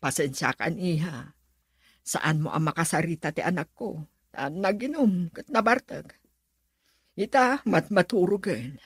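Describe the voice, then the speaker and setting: A husky, fairly low-voiced Filipino mother in a radio drama. She is anxious and coaxing, and her pitch swings widely as she pleads. The recording is close and dry. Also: animated, measured pace, no room sound, exaggerated voice. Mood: polite, placating. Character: anxious, coaxing middle-aged mother of a grown son.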